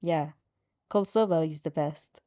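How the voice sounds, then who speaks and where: A woman's voice: deep voice, a little slow, dark tone, highs cut off, pitched below the performer's own voice. Woman putting on an aloof, curt teenage boy. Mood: calm.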